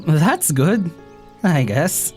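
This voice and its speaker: Warm young traveler for an audio drama, teasing and sincere, slow delivery with a wildly swinging pitch. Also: big pitch swings, music in the background. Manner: playful, laughs often, unhurried.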